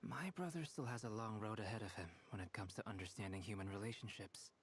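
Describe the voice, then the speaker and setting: Low-voiced, composed alchemist. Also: male voice, fast talker, slightly rough. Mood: thoughtful. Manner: calm, analytical, formal. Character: composed, courteous scholarly young alchemist man. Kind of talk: video game character dialogue. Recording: faint noise from game stream.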